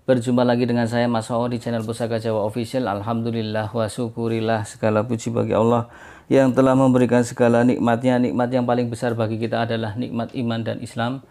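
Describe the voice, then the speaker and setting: Guy w hosting a culture channel, gravelly hoarse voice, kinda sick sounding, explains stuff informally. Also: mid-range voice, completely flat delivery, a little fast, mellow. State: hoarse, under the weather. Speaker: host of a heirloom dagger culture channel. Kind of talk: informal explanatory presentation to viewers.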